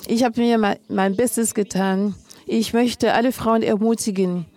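A woman speaks to a panel audience in a defiant tone that stays plain and direct. Her pitch hardly moves, which gives her words a level, even delivery. She pauses between short phrases. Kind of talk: public panel statement.

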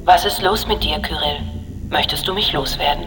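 Polite insistent computer intelligence, radio drama, soft, reverberant, faint noise behind. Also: female voice, deep voice, moderate pace. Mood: gentle, coaxing. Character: gentle, insistent female artificial intelligence.

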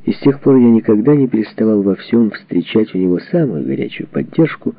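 A man reading a memoir as a scripted audiobook narration, with measured, dramatic phrasing and expressive delivery. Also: lowish voice, vocal fry, no room sound. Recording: dull, muffled lo-fi audio.

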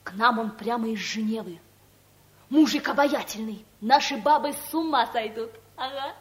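An actress playing a chatty, gossipy young secretary with a flippant way of talking, in a 1970s radio comedy. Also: female voice, a little high, moderate pace, lightly acted. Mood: gossiping.

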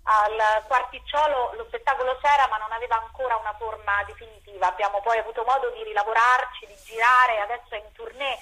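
A woman's voice: on the high side, steady tone, brisk, few pauses, bright, forceful tone, poor audio. A woman theatre director answering interview questions, repeating words as she searches for phrasing.

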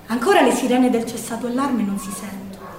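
An actress plays a shaken young woman partisan in a wartime theatre monologue, heard in a roomy, echoing space a little way from the microphone. Her high voice has a Roman accent and moves between hushed and urgent, with weighted pauses. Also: lightly acted. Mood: dazed, grave. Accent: Roman Italian. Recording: roomy echo, distant microphone.

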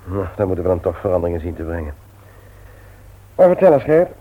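Frank businessman, low tinny voice. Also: very animated, quick pace. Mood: brisk, sociable. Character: busy, brisk, sociable man, brother of a widower. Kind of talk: radio play acting. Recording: tinny, noisy old radio recording.

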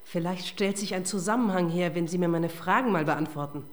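A woman's voice: lowish voice, fairly quick, lightly acted. An actress playing a sardonic, persistent police detective in a radio crime drama, dry and pointed in her questioning. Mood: dry, sarcastic.

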